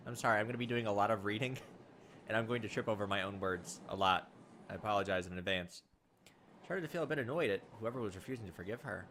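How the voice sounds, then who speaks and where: A man's voice: medium pitch, fairly bright tone, slight background noise. A man hosting a let's play, reading a visual novel's narration aloud with casual asides. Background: game music behind the voice.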